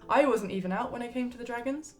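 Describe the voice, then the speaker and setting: A woman's voice: on the high side, fast, soft background music. A woman in her twenties from Surrey, speaking in an earnest, reflective documentary interview. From Surrey, England. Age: 20s.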